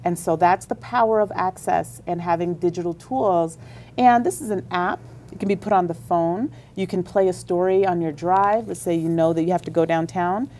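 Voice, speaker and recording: A woman's voice: on the low side, moderate pace, mellow, slight background noise. A woman on a radio interview, explaining at length with enthusiasm about library services. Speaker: school district library leader.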